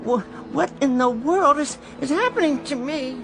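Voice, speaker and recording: Fussy, meek, lively-pitched salesman. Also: normal speed, vocal fry, noisy background, exaggerated voice. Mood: flustered, anxious. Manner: hesitant, prim, wordy, formal. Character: meek, fussy, bewildered vacuum cleaner salesman. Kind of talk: radio drama acting.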